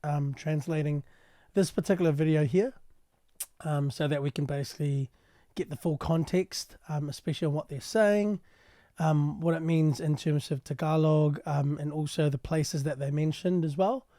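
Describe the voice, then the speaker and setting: A man translating and explaining a news clip in a casual, halting way with many pauses. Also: a little high, steady tone, dark tone, no room sound, studio quality. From New Zealand. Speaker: translator and commentator.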